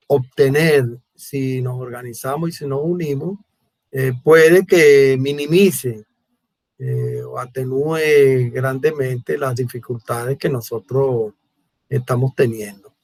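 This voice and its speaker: A Venezuelan man hosting a conversational online interview, speaking Spanish in a deliberate, halting way with repeated words. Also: mid-range voice, a little mellow, no echo. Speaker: host, mathematics educator. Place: remote online conversation from Brazil.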